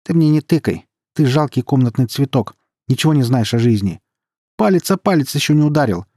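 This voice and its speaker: A man voicing a sharp-tongued young woman, a teasing elder sister who taunts and scolds in an audiobook story. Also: mid-range voice, very animated, creaky, slightly rough, dry recording, clean studio sound, pitched above the performer's own voice, heavily acted. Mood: angry, scolding. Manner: taunting, brash, quick to scold.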